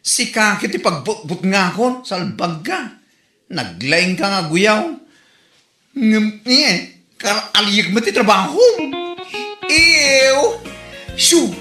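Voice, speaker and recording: A man's voice: high-pitched, big pitch swings, slow pace, pauses often, sharp tone, soft background music, full character voice. A man with a Filipino accent playing a theatrical, mocking, scolding neighbourhood busybody in a comedy drama. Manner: theatrical, exclamatory, teasing. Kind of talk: Ilocano comedy drama acting. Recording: roomy, echoing voice recording.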